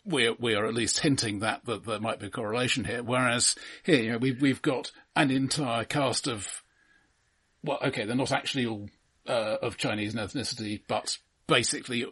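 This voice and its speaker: Male film podcast co-host with a breathy, husky tone; conversational, analytical, dryly wry and careful with qualifications. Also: medium pitch, fairly even intonation, fairly bright tone. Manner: measured, wry, hedging and self-correcting. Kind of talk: casual analytical film discussion.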